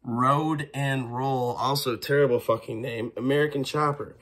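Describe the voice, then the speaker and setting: A man's voice: medium pitch, steady tone, normal speed, a bit bright. Laid-back man with a stuffy cough. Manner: laid-back, swears freely, scolds his cat. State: congested with a cough. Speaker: fireworks vlogger. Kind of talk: casual unboxing vlog. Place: small kitchen at home, indoors.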